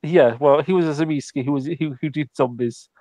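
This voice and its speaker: A man's voice: fairly high pitch, brisk, dark tone, no echo. Guy doing in-character tabletop rp, lots of ums, restarts, dead dry recording. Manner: hesitant, many ums and restarts. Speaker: tabletop roleplay player.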